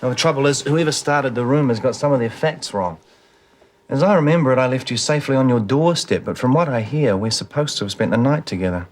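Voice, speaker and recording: An actor playing a confident, teasing young doctor in a soap opera. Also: male voice, medium pitch, fast talker, darkish tone, a little hiss or hum, lightly acted. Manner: smooth, teasing. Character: cocky, charming young male doctor. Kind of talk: 1990s TV soap acting.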